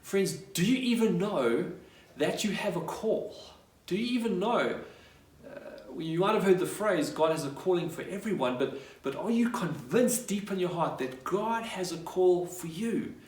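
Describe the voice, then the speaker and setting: Echoey, higher-pitched male preacher, heard from afar. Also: expressive, a little slow, a little mellow. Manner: conversational retelling, acting out dialogue and posing rhetorical questions. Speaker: pastor. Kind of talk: sermon, retelling a Bible story. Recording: echoey room, distant microphone.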